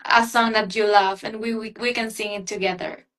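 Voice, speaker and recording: A woman's voice: medium pitch, steady tone, a little fast, a bit bright. A young woman from Ecuador with a Spanish-speaking accent, talking earnestly and gratefully as a guest in an interview, sometimes searching for words in English. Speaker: pageant guest. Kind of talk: interview conversation.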